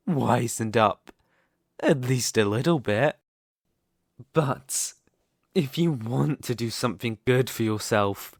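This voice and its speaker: Guy acting as a smug demon king villain, asmr roleplay, really slow n teasing, dry close mic. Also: medium pitch, dry recording. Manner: slow, deliberate, teasing, condescending. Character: smug, seductive male demon king, patient and possessive. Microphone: close studio microphone, dry.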